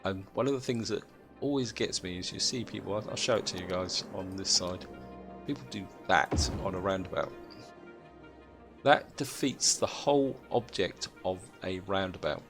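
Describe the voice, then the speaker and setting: A British man with a croaky voice from a chest cold, chatting casually as he thinks aloud through a city-building game. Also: sits low, normal speed, music in the background. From Britain. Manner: thinks aloud, chatty, addresses viewers as guys. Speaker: gameplay commentator.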